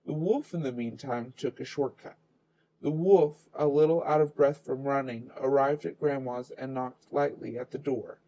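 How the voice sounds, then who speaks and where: Mellow man reading a children's story. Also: mid-range voice, normal speed, slight background noise, low-quality recording.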